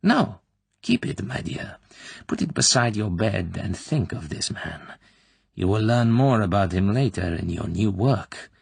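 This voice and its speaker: A man voicing a cruel middle-aged female Soviet colonel who interrogates with an oily, smooth, falsely motherly manner, dropping at times into soft whispers. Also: lowish voice, sing-song, unhurried, no echo, exaggerated voice. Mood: oily, coaxing.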